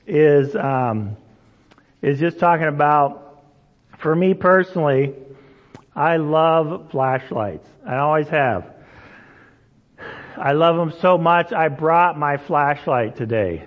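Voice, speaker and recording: A man preaching a sermon in a relaxed, storytelling way, often checking in with the listeners. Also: a little high. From Indonesia. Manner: conversational, storytelling, frequent "right?" checks. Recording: dull sound, long hall reverb.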